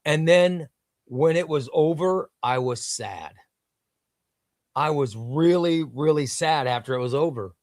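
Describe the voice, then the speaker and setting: Man, livestream host, enthusiastic, repeats phrases, slightly high voice, dry sound. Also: unhurried, darkish tone, no echo. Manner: enthusiastic, repeats himself, reads viewer comments aloud. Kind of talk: casual livestream chat reading comments.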